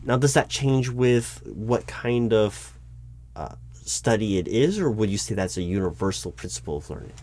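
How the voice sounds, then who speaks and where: A man playing a patient, probing hiring manager in a one-sided interview roleplay, speaking aloud in a halting, improvised way and repeating words. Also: lowish voice, lively intonation, darkish tone, a little hiss or hum, lightly acted. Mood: encouraging. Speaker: job interviewer. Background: computer keyboard typing.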